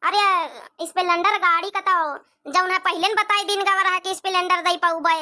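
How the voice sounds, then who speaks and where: A very high, sharp-edged village hostess voiced by a woman for a comedy cartoon. She pleads and coaxes with wedding guests, repeating herself insistently. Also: fairly even intonation, moderate pace, heavily acted. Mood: dismayed. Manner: coaxing, repetitive. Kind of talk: amateur comedy cartoon dialogue.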